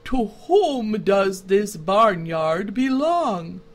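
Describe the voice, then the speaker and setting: A woman voicing a calm, wise old male scarecrow in a children's story reading, speaking very slowly. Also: mid-range voice, full character voice. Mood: stern calm.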